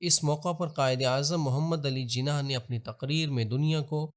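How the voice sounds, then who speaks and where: A man with a Pakistani accent reads scripted historical narration in a formal, measured, expository manner. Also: a little high, steady tone. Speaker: documentary narrator.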